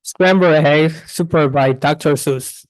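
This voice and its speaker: A man's voice: fairly high pitch, unhurried, no echo, low-quality recording. A young man, a university student, reading a rhyming children's story aloud. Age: young adult.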